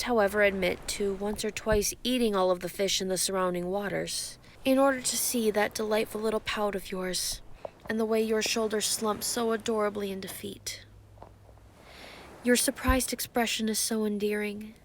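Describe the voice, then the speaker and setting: Woman voicing a calm, teasing giant sea empress. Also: medium pitch, unhurried, a little hiss or hum, close to an everyday voice. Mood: teasing adoration. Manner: calm, teasing, grandiose, formal wording. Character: giant ancient female sea empress, playfully possessive and flirtatious. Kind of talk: roleplay audio, intimate monologue to listener.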